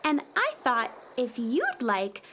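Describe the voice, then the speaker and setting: A woman's voice: a little high, huge pitch swings, takes it slowly, noisy background, heavily acted. A woman voicing a cheerful little girl who loves to sing, eager and chirpy. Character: Mary Farfisa, a cheerful singing little girl. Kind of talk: audio drama acting.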